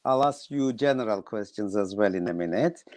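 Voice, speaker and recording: A man's voice: on the low side, animated, normal speed, darkish tone, no echo. An older man hosting an online panel over a video call, asking questions and laughing often. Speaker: host.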